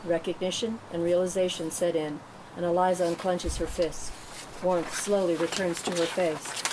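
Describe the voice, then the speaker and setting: Low-voiced woman narrating, noisy room. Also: level tone, moderate pace. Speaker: narrator of screenplay directions. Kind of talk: scripted narration at a table read.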